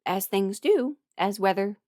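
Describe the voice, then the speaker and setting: A woman shares a personal ramble in an informal, confiding way. She stops and rephrases as she goes, like advice given to friends. Her voice sits at an average pitch, and the sound is dry with no room around it. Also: animated, warm tone, no echo. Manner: informal, halting and self-correcting, chuckles while talking, mimics others in dialogue. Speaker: host, voice performer giving a personal ramble.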